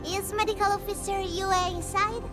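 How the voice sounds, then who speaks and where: A woman playing a bright, helpful young female camp guide in a video game. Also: extremely high pitch, steady tone, moderate pace. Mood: cheerful, friendly. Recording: music behind.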